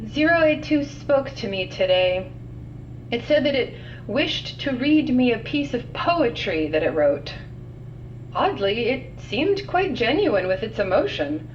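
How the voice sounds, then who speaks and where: Woman acting wry scientist on muffled log. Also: a little high. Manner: dry, wry, matter-of-fact. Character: weary, sardonic female scientist keeping containment logs. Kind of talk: audio drama log narration. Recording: muffled, distant, noisy log with hum.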